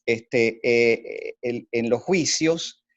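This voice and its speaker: A man discussing his opinions in conversation, deliberate and halting as he searches for words, on a dry, slightly dull recording. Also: mid-range voice, no echo. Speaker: podcast co-host.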